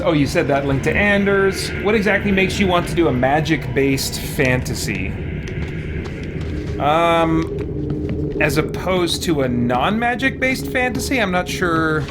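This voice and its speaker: A man's voice: fairly high pitch, animated, normal speed, very noisy. A man doing casual live game commentary, chatting with viewers and muttering mild exclamations to himself as he plays. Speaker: game streamer. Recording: loud game music behind the voice. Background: video game music and sounds.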